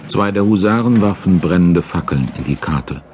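A man's voice: sits low, expressive, moderate pace, a little mellow. A man giving dramatic, scripted audiobook narration as the storyteller of a historical radio play. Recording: narration over battle sound effects.

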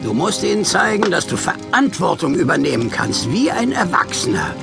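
Creaky, high-voiced old grandpa dragon. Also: male voice, big pitch swings, normal speed, over music, exaggerated voice. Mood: encouraging. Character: old grandpa fire dragon, wily and encouraging. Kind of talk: children's audio drama acting.

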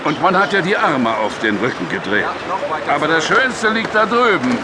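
Crime audio drama: sardonic, irritable sergeant, animated, high-pitched, noisy street behind. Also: male voice, normal speed. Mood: grim, sardonic. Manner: barking, exasperated, sardonic. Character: gruff, irritable, bossy London police sergeant. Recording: loud outdoor street noise, crowd.

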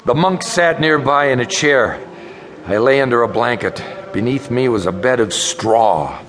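A man's voice: mid-range voice, a little slow, close to an everyday voice. A man playing an exhausted traveling scholar in a radio drama, intense and dramatic. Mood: grave recollection. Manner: urgent pleading, hushed when narrating. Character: desperate, exhausted male traveler and scholar, recalling his ordeal.

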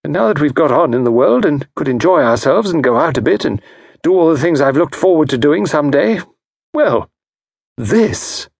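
A man's voice: fairly high pitch, expressive, vocal fry, husky, dry recording, studio quality, pitched above the performer's own voice, exaggerated voice. A man voicing an aggrieved middle-aged wife, bursting out with jealous complaints. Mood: miserable. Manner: rushed, complaining outbursts.

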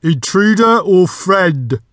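A man voicing a talking magic door from a storybook, a gruff, self-important gatekeeper with a booming gravelly voice. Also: animated, slow pace, a bit bright, no room sound, clean studio sound, heavily acted. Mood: stern, commanding. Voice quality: deep, gravelly.

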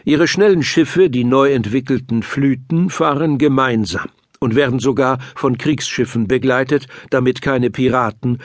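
A man narrating a scripted children's audiobook in a measured, explanatory way. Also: medium pitch, no echo, studio quality.

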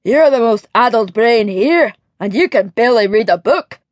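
A woman's voice: a little high, expressive, moderate pace, no echo, natural voice. A woman voicing a fiery-tempered male cook with a growling, scolding manner. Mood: angry, scornful.

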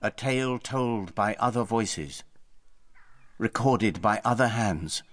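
A man playing a solemn storyteller in a radio drama, introducing an old tale in a measured, portentous way. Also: on the low side, no room sound. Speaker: prologue narrator. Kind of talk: dramatic scripted radio narration.

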